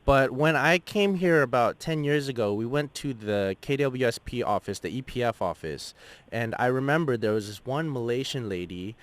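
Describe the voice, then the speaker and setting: A man on a radio show, English his first language, recounting a story in an earnest, halting way with "uh" fillers. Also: mid-range voice, lively intonation. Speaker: radio host. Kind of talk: casual radio chat.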